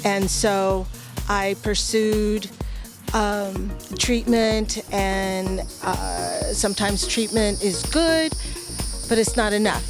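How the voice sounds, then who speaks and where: Slow, soft-spoken woman with music behind. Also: medium pitch. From Philippines. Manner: hesitant, many ums and restarts, soft-spoken. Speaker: guest, veteran and art-program founder. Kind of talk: interview answers.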